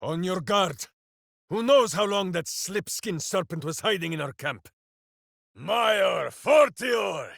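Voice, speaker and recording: An actor playing a big-hearted, dim-witted heroic ranger in a video game, bombastic and earnest, speaking of himself in the third person. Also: male voice, high-pitched, expressive, slow pace, gravelly, a bit bright, no room sound, raised voice, studio quality, exaggerated voice. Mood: alarmed, shouting. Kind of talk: video game character voice lines.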